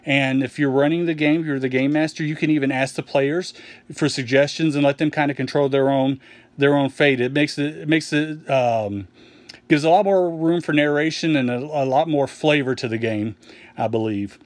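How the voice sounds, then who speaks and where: A man explaining a tabletop game's core rules in a casual walkthrough, relaxed with frequent ums and restarts, recorded over an open rulebook on a table. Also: fairly high pitch, normal speed, no room sound. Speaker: game publisher explaining rules.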